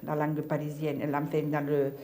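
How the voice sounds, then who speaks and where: A woman's voice: low voice, completely flat delivery, moderate pace, mellow. Hesitant woman in an echoey room. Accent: southern French. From southern France. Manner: searching for words, hesitant. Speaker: interviewee.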